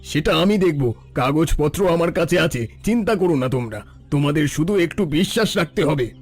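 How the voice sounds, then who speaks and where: Shifty village shopkeeper, cartoon, highish voice, pushy smooth talker. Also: male voice, expressive, normal speed, soft background music. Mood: cajoling. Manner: pushy, persuasive. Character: scheming, self-serving village shopkeeper, smooth persuader. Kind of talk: Bengali cartoon story voice acting.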